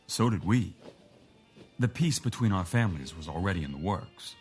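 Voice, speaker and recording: A man's voice: sits low, lively intonation, normal speed, a little mellow, faint music behind. A man playing a sardonic, condescending crime boss who speaks with formal politeness in an audio drama. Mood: sardonic, measured. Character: smooth, condescending male gangland crime boss.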